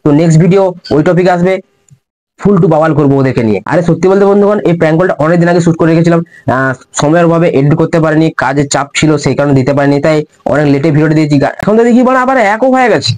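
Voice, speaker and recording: Prank-call host: young man, very quick delivery, informal and crude. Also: on the high side, darkish tone, rough recording. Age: young adult. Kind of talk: casual chat to viewers and prank calls.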